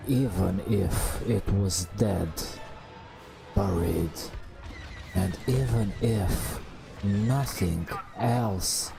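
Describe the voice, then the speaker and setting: A man softly narrating a video game as an ASMR bedtime story, hushed and drawn out, with long pauses. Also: low-pitched, creaky. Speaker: host narrating gameplay. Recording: music behind the voice. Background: game music.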